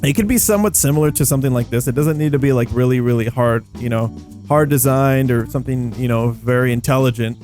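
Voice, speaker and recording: A man giving casual gameplay commentary in an excitable, slangy, rambling way, often repeating phrases as he thinks aloud. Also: medium pitch, fast talker. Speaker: video game YouTuber and host. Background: background music.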